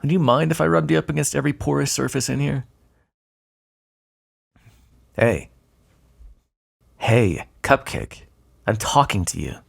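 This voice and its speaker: A man playing a smug, predatory vampire in an ASMR roleplay, taunting and flirtatious, with a gravelly, breathy voice. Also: medium pitch, moderate pace, long pauses. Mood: dry teasing. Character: predatory, teasing male vampire, smug and seductive.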